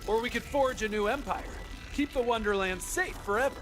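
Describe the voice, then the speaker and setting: A woman's voice: fairly high pitch, brisk, over music, natural voice, low-quality recording. A woman voicing a friendly, upbeat tabletop player in a video game, earnest and encouraging. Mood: hopeful. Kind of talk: animated video game acting.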